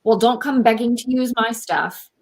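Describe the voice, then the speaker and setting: A woman's voice: fairly high pitch, normal speed. A young woman playing an earnest, easily exasperated environmental superhero in a comedy radio play over a video call. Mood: indignant. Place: room at home, video call.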